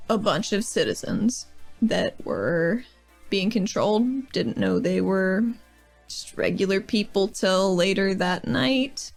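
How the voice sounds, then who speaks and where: A woman's voice: mid-range voice, gravelly. A woman playing her character in a tabletop game, speaking in a casual, rambling way as she recaps events. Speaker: player.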